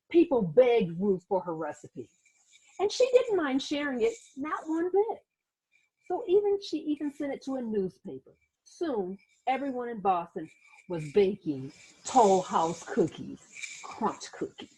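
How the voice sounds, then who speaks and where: A woman reading a children's story aloud like a teacher, expressive and dramatic, with asides to her listeners. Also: on the high side, unhurried, breathy edge, low-quality recording. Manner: animated, dramatic, acts out sound words and exclamations.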